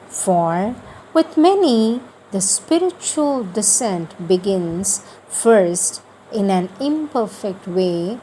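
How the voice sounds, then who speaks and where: Woman doing a devotional lecture, really slow and careful, stresses key phrases, bit of background noise. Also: medium pitch, dark tone, rough recording. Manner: deliberate, repeats and emphasizes key phrases. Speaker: reader and spiritual teacher. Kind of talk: devotional spiritual lecture with read-out passages.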